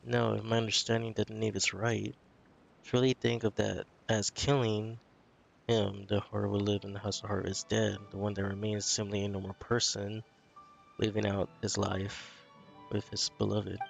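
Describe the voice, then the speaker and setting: A man's voice: lowish voice, little pitch movement, normal speed, vocal fry, faint music behind. A man giving casual gaming commentary, musing aloud about a story in a rambling way.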